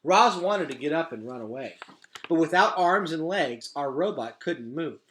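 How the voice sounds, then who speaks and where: A man's voice: on the high side, expressive, moderate pace, noisy background. Man reading a storybook aloud with dramatic emphasis. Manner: dramatic, emphatic delivery with hushed drops. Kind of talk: storybook read-aloud narration.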